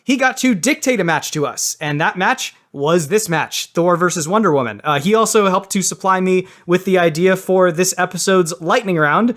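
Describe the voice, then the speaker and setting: Guy podcast host w a slightly high voice, casual chat n announcements. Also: normal speed, few pauses, fairly bright tone.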